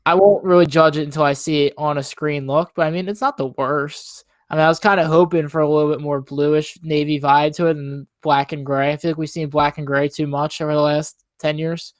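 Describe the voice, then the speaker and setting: A man co-hosting a casual pop-culture podcast, speaking in a laid-back, measured and noncommittal way. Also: fairly high pitch, no room sound, clean studio sound. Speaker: podcast co-host.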